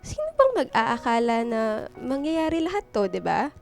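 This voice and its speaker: A woman's voice: sits high, wildly swooping pitch, moderate pace, creaky, darkish tone, heavily acted. A woman playing a sweet, giggly, affectionate young girlfriend in a Filipino radio drama. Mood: giddy, loving. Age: young adult. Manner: cutesy, giggly. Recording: radio drama with music behind.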